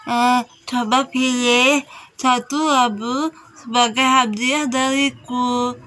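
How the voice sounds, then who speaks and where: A woman voicing a kindly, gentle old grandmother in a storybook tale, speaking in an unhurried way. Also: a little high, steady tone, slight background noise. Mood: gentle warning.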